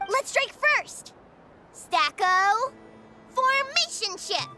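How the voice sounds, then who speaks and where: Very high, theatrical little girl. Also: lively intonation, drawn-out words, heavily acted. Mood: theatrical, excited. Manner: theatrical, brash announcer-like delivery. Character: excitable little girl robot battler in moon goddess costume, cocky. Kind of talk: animated game voice acting. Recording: music behind the voice.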